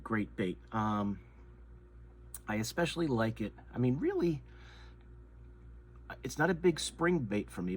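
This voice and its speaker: A man hosting his own fishing channel, talking casually and a bit nasally through a head cold, rambling and repeating himself with lots of ums. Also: medium pitch. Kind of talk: casual show-and-tell chat. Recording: noise with crinkling plastic bags. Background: crinkling soft-plastic bait bags.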